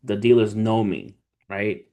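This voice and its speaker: Low-pitched man chatting casually on a livestream. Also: a little slow, warm tone. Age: 30s. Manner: informal, street slang, profane, addresses viewers as family. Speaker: livestream host, trader. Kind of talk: casual livestream chat, storytelling and motivational talk.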